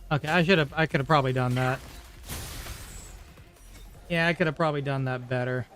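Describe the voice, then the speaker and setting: A man streaming a game, giving casual commentary and thinking aloud in a low-key way. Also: brisk, fairly bright tone. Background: faint game music.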